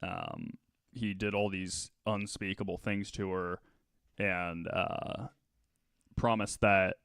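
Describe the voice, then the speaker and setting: Male podcast host, true-crime storyteller. Very unhurried, halting, clean resonant tone. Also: low voice, expressive, creaky, fairly bright tone, clear, resonant tone, no room sound, clean studio sound.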